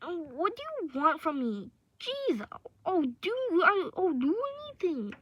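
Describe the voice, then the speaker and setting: Woman acting high-pitched, protesting captive. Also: huge pitch swings, unhurried, dry recording, exaggerated voice. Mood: pleading, exasperated. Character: frightened, exasperated captive listener, pleading to be freed. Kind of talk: amateur fan audio roleplay. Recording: dull audio with clear background noise.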